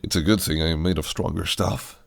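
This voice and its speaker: An actor playing a possessive, scheming fae prince who speaks in silky, archaic phrases that can turn menacing. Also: male voice, low voice, steady tone, brisk, gravelly. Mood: teasing. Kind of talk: audio roleplay acting.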